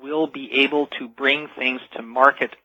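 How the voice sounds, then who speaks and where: A man's voice: mid-range voice, steady tone, normal speed, no room sound. A man speaking as an expert guest in a radio interview, explaining earnestly and emphatically, repeating key phrases. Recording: dull, dry lo-fi radio audio.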